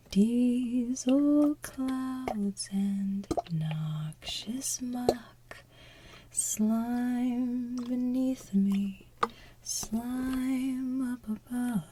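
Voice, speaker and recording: A woman softly singing as an evil, gross sludge monster from a cartoon, in a drawn-out, gloating ASMR style. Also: on the high side, background noise.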